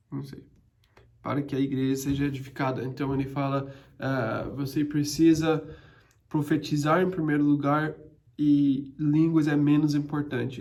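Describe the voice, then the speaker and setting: Young guy doing a bible study, kinda high gravelly voice, lots of ums and restarts. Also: darkish tone. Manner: hesitant, frequent restarts and fillers. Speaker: Bible teacher. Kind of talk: informal Bible study teaching.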